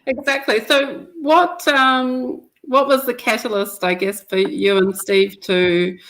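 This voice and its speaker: Higher-voiced woman host. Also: flat delivery, slow pace, a bit bright, low-quality recording. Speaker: interviewer, host. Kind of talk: casual video call interview.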